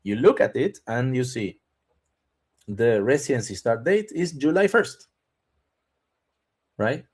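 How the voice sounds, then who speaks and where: A man's voice: mid-range voice, lively intonation, slow, pauses often, a little mellow, breathy edge. A man hosts a live webinar, speaking in a mid-range voice. He reads each viewer question aloud, then explains the answer in a loose, conversational way. He keeps checking in with his audience as he goes. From Argentina. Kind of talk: live webinar Q&A on visas and taxes.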